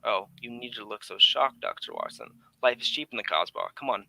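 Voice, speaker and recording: A man's voice: on the low side, big pitch swings, brisk, gravelly, sharp tone, slight background noise, heavily acted, low-quality recording. A man playing a breezy, cynical English aristocrat in exile, in a theatrical radio drama manner. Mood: casual, cynical. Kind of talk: 1940s radio drama acting.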